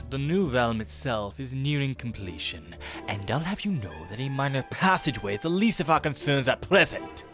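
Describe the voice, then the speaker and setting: A man voicing a stern, pompous guard of a dragon realm in an animated fantasy series, formal and commanding. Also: medium pitch, moderate pace, few pauses, faint music behind. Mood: indignant, stern.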